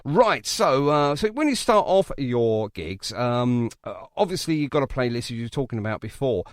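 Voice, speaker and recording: Man, mid-pitched interview host, very lively intonation, encouraging and chatty; dry, close sound. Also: normal speed, no echo. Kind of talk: casual radio-style interview.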